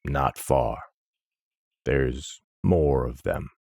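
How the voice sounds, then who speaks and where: A man voicing a huge, grim male minotaur warrior who speaks in a low rumbling growl, slow and terse. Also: steady tone, creaky, darkish tone, no echo, clean studio sound, exaggerated voice.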